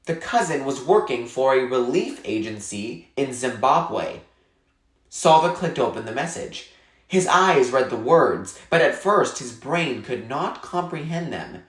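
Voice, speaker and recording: A man narrating a children's novel aloud in an expressive, measured storytelling style with clear phrasing. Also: mid-range voice, echoey room.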